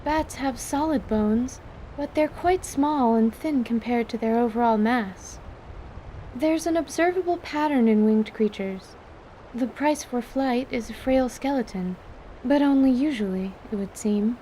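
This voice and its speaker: A woman playing a calm, clinical surgeon in an audio drama, speaking formally and precisely. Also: a little high, unhurried, slight background noise, close to an everyday voice, rough recording. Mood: clinical, curious. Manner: formal, precise, articulate diction.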